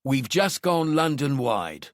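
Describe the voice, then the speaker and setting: A man's voice: medium pitch, sing-song, slow pace, no echo, voice pushed up. A composed detective chief, acted by a male narrator in a crime audiobook. He sounds authoritative and matter-of-fact. The recording is close and dry. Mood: firm, explanatory. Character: calm, authoritative male detective squad leader.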